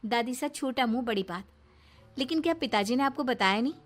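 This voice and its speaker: A woman plays a young daughter-in-law in a family moral story. Her high voice is courteous yet cheeky and outspoken. Light music sits behind. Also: lively intonation, brisk, close to an everyday voice. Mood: pointed politeness.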